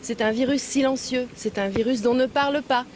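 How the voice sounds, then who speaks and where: A woman speaking as an official at a public event, phrasing deliberately with frequent hesitant pauses, recorded on location. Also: high-pitched, darkish tone, a little hiss or hum. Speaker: European Union official giving a speech and interview. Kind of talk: public speech and press remarks.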